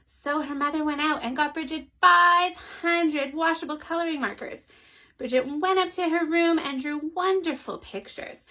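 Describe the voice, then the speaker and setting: A mother of four reading a children's picture book aloud from a child's bedroom at home, in a friendly, expressive way. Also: high-pitched, moderate pace. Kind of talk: children's story read-aloud.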